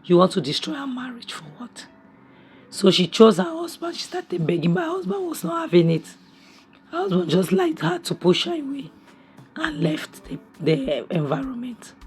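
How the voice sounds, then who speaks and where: A woman, hoarse from a cough, chatting through a casual review of a drama episode at home, opinionated and full of restarts. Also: high-pitched, very animated, normal speed. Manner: chatty and opinionated, many restarts and "you know". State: hoarse from a cough, losing her voice. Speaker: series review vlogger. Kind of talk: casual spoken review recap. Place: home, near a kitchen appliance.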